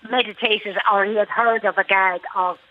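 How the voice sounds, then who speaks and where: A woman's voice: mid-range voice, steady tone, moderate pace. An Irish woman talks chattily on a radio phone-in and stresses her points again and again. Her voice comes through a dull, muffled phone line. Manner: chatty, emphatic, repeats herself. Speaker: golf club lady captain, caller.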